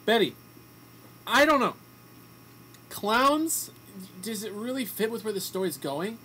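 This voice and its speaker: Radio host, really swingy pitch, bossy exasperated banter, bit of background noise. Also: big pitch swings, measured pace, sharp tone, rough recording. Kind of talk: improvised comedic radio banter.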